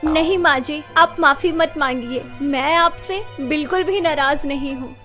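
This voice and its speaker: Woman playing a very high-voiced anguished young widow. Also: a little slow, music in the background. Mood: gentle, forgiving. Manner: emphatic, emotional delivery. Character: anguished young widowed daughter-in-law, pleading and defiant. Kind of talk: melodramatic Hindi story dialogue.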